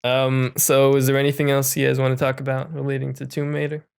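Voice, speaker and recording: A man's voice: medium pitch, completely flat delivery, fast talker, vocal fry, clear, resonant tone. A man hosting a podcast, chatting about films in an informal, jokey and rambling way. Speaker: podcast host. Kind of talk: casual film discussion chat.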